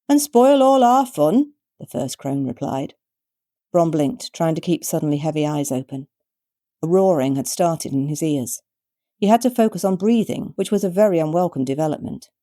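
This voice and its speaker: A British woman narrating her own short story with measured, wryly expressive storytelling. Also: sits low, no echo, clean studio sound. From Britain.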